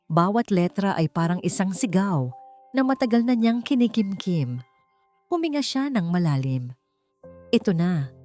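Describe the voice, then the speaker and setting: A woman with a fairly low voice reads a dramatic story as an audiobook narrator. Her delivery is deliberate and suspenseful, landing short phrases with emphasis. Soft music plays behind her. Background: background music.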